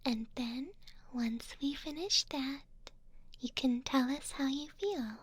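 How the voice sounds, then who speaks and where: Casting: woman to play a caring elf ear cleaner for ASMR; high, slow, breathy whisper, coaxing and tender. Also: no echo. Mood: doting, reassuring. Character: two doting female elves, gentle caring ear cleaners. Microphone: close binaural ear microphone. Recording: close binaural mic.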